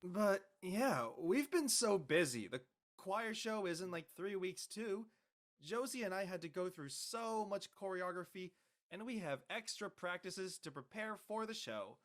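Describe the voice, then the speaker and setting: A woman's voice: medium pitch, animated, unhurried, lightly acted. Easygoing teen student played by a woman, takes their time talking, casual and slangy. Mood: casual, weary. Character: busy, cautious, easygoing high school student.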